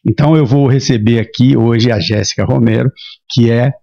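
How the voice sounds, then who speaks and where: A middle-aged man from hosts a casual podcast chat from that region. His voice sits at an average pitch with a lot of gravelly fry. The recording is a bit muffled and lo-fi. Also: steady tone, moderate pace, dark tone. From Minas Gerais, Brazil. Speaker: podcast host.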